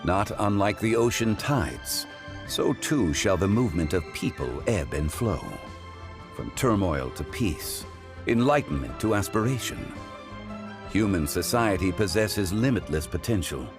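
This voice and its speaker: A calm wise gentleman in a game, lowish voice, very formal and polite, faint music under it. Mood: philosophical. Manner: formal, measured, philosophical. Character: calm, courteous, scholarly ancient gentleman consultant. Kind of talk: video game voice acting.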